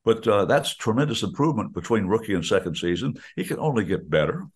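A man's voice: fairly low pitch, sing-song, fast talker, breathy edge. An older man, a radio play-by-play announcer, chatting about football in a folksy, rambling way with many restarts. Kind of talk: rambling radio sports chat.

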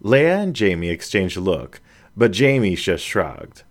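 A man narrating a story in a low, expressive voice. His pitch moves widely while his pace stays slow and measured, as if reading a storybook aloud. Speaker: audiobook narrator. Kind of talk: scripted narration.

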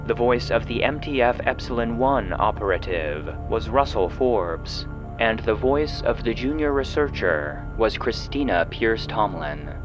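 Measured male narrator over prominent music. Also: mid-range voice, muffled recording. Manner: measured, formal announcing. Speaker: producer and narrator. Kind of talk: scripted narration, end credits.

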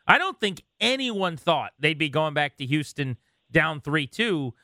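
A man hosting a sports radio interview, talking conversationally and recalling games in an animated way. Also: on the high side, a little slow, dry recording, clean studio sound.

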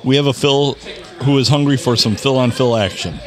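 A mid-pitched man jokes goofily at his own expense. He sounds loose and casual. A busy room full of chatter carries on behind him. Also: a little fast. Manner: goofy, self-deprecating. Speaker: podcast co-host. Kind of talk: casual banter.

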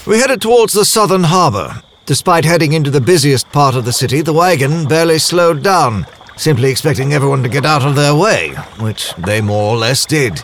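A worldly male diplomat and spy played by an actor tells his own story in an audio drama. His voice sits fairly high for a man and moves with lively, varied pitch. The delivery is measured and dryly wry. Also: slight background noise. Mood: wry, composed. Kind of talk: first-person audio drama narration.

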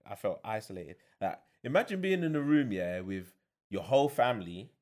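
A man's voice: sits low, darkish tone. A young father, a guest in a casual chat, speaking reflectively with many fillers.

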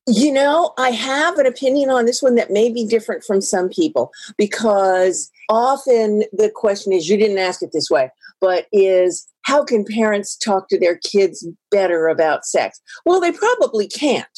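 A woman sex educator as interview guest, giving opinions in an animated, playful way. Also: mid-range voice, moderate pace, few pauses, dry recording. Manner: animated, playful, acts out quoted voices.

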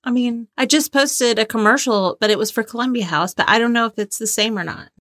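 A woman's voice: a little high, a little fast, fairly bright tone, no echo, studio quality. A woman in her thirties co-hosting a podcast in casual, conversational chat. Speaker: podcast co-host and trivia game player.

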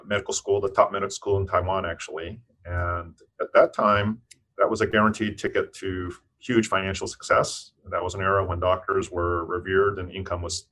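Very deep-voiced man, thoughtful. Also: a bit bright. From United States (Eastern Tennessee). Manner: thoughtful, with frequent restarts and fillers. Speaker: physician and scientist guest. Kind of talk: interview conversation.

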